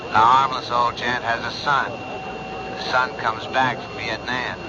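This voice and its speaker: An actor playing a gruff middle-aged police captain in a 1970s radio drama, grumpy and dryly sarcastic. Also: male voice, medium pitch, little pitch movement, measured pace, lightly acted. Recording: hissy lo-fi old radio broadcast.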